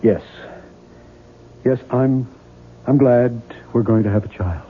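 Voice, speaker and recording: An actor playing a troubled, evasive American writer husband in a radio drama, hesitant and subdued. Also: male voice, mid-range voice, slow, lightly acted. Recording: muffled, noisy 1970s radio broadcast.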